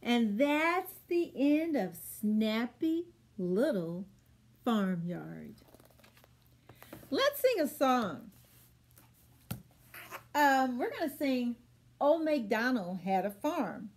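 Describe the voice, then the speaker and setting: A middle-aged woman leads a storytime for small children. Her voice sits high, and she takes her time with an unhurried, expressive delivery. Phrases are set out clearly for young listeners. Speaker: children's storytime host at a public library. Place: small plain room, indoors.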